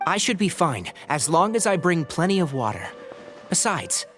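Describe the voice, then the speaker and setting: Young careful earnest scholar, game dub, voice moves up and down a lot. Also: male voice, a little high, sing-song, normal speed, a bit bright, faint music behind. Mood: worried, determined. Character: earnest, thoughtful young male forest scholar. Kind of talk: English video game dub acting.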